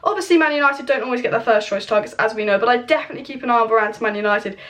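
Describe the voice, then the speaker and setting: A young woman hosting a casual football transfer news update from home, hoarse as she loses her voice, chatty and opinionated, recorded on a camera mic. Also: fairly high pitch, racing, fairly bright tone. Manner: chatty, opinionated, speaks straight to viewers. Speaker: football channel host. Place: home with kitchen clatter nearby.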